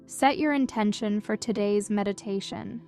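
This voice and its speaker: A woman leads a guided meditation with a mid-range voice. Her delivery is gentle, calm and measured, like scripted instructions meant to soothe. Music plays behind her. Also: mid-range voice.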